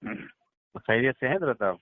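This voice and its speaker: A man's voice: mid-range voice, sing-song, unhurried. A man on a phone call, politely and deferentially questioning someone he respects. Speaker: caller questioning a cleric. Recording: narrow, tinny phone line.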